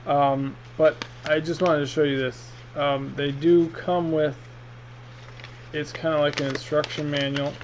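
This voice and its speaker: A man's voice: fairly high pitch, little pitch movement, measured pace. A man giving a casual, rambling, hesitant product review in an unboxing vlog, speaking off the cuff. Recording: muffled, with clear background noise.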